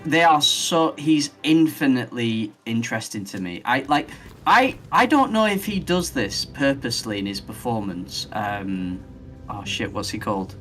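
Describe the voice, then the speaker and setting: A man of medium pitch hosts a relaxed podcast conversation. He rambles with enthusiasm and works through his thoughts aloud. Faint music plays underneath. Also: moderate pace. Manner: rambling, enthusiastic, thinks out loud. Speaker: podcast host. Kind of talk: casual podcast chat.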